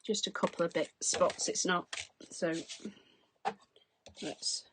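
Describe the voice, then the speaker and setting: A woman in her forties, hoarse from losing her voice, chatting through a craft demonstration at her desk. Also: lowish voice, steady tone, slow pace, noisy background. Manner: chatty, explains as she works. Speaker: craft tutorial host. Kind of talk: casual step-by-step crafting demonstration. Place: craft desk indoors, overhead camera.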